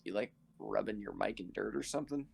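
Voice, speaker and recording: A man's voice: lowish voice, fast, vocal fry. A man playing a tabletop roleplaying game over online voice chat, chatting casually and jokingly, with some swearing. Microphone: online voice call. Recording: faint noise on online voice chat.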